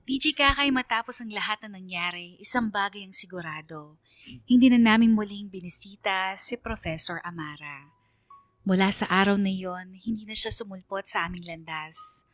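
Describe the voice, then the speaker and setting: A woman performing solemn, measured first-person narration as a haunted letter sender in a radio drama. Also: medium pitch, a bit bright, dry recording, studio quality, close to an everyday voice. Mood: reflective, somber.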